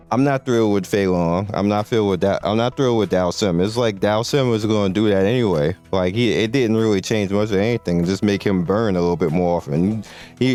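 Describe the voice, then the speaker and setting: A man's voice: lowish voice, a little mellow, clear, resonant tone. A man losing his voice, giving laid-back, slangy game commentary with lots of restarts. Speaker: mobile game content creator.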